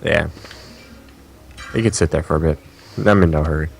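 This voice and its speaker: A man's voice: a bass voice, steady tone, normal speed, creaky. A man giving casual, offhand, teasing commentary as co-host of a gaming stream. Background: video game music.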